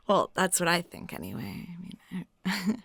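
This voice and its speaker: A woman playing a flirty, lonely, talkative waitress in an intimate roleplay, chatting softly and casually and sometimes dropping near a whisper. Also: fairly low pitch, gravelly, breathy edge, no room sound, exaggerated voice. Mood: smitten, playful. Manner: soft, rambling, giggly, drops into near-whispers. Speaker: audio roleplay performer.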